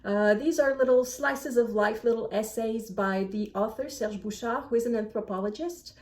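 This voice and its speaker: A woman book vlogger chatting casually to camera in an animated, self-deprecating way. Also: a little high, normal speed. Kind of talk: casual chat to camera, answering book prompts. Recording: echoey room, distant microphone.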